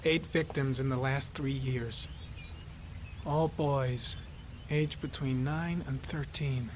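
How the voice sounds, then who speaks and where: A man's voice: mid-range voice, steady tone, slow, slight background noise, close to an everyday voice. A man voicing a weary, driven male FBI profiler who delivers case facts in a clipped, matter-of-fact way. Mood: clinical. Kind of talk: voicing a game character.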